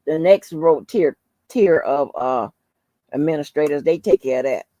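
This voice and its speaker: A woman hosting a casual livestream, rambling informally to her viewers in a soft, calming voice. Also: low-pitched, moderate pace, no echo. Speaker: livestream host.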